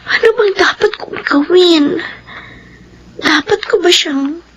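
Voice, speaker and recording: An actress playing a kind but worried young woman of the house in a radio drama, speaking softly and earnestly. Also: very high-pitched, slow pace, very noisy.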